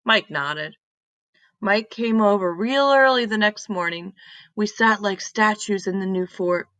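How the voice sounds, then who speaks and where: A woman reading a children's story aloud in an expressive, deliberate manner. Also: medium pitch, no room sound. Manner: deliberate, animated reading with emphatic exclamations.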